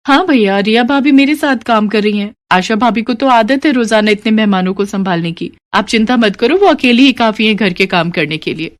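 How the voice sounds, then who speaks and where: A woman voicing an eager, easily swayed young bride in an animated story, gushing and girlish. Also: fairly high pitch, normal speed, hardly any pauses, lightly acted. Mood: breezy, insistent. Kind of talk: animated moral story character dialogue.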